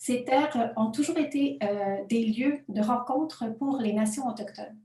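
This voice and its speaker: A woman whose first language is not French gives an academic conference talk from her home office, pausing often with filler sounds. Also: a little high, warm tone. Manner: hesitant, frequent filler sounds. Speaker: conference presenter. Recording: roomy distant home recording.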